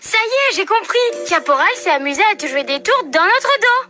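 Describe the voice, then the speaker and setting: A woman voicing an earnest, affectionate, playful young boy in an animated cartoon. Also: very high-pitched, normal speed. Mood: apologetic. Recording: loud music behind.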